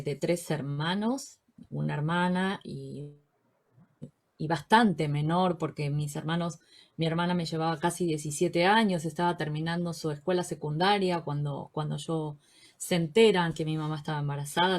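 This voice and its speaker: A woman in her sixties from Buenos Aires with a Rioplatense accent, talking about her life in an interview, thoughtful and full of pauses and repetitions. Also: deep voice. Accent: Rioplatense Spanish. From Buenos Aires, Argentina. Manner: warm, reflective, with frequent fillers and repetitions. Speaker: interviewee, social worker. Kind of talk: relaxed interview conversation.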